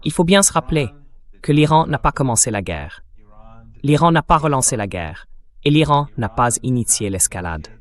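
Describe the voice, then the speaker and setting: A man's voice: mid-range voice, big pitch swings, moderate pace. A man giving explanatory political analysis in French voice-over, stressing his points with repeated phrasing. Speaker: professor, political analyst guest.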